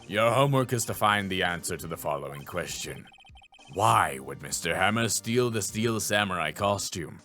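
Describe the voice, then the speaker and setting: A man voicing a stern, formal old trial judge from a video game, slow-witted and ponderous. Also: deep voice, lively intonation, bright, forceful tone, soft background music, voice pushed down.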